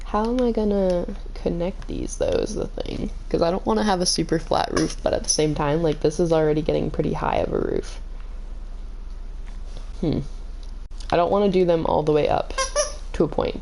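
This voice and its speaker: Woman game streamer, slightly hoarse and scratchy, calm and low, dark gravelly tone. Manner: calm, kept in her lower range. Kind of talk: casual gaming stream chat.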